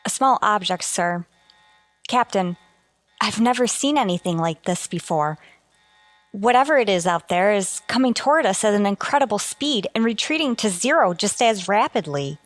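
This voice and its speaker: A woman plays a dutiful female starship officer in a retro sci-fi radio play. She reports formally and earnestly in a medium-pitched voice, recorded close and dry. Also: expressive, normal speed, no echo, soft background music, close to an everyday voice. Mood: alarmed. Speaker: actor. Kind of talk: golden age sci-fi radio drama acting.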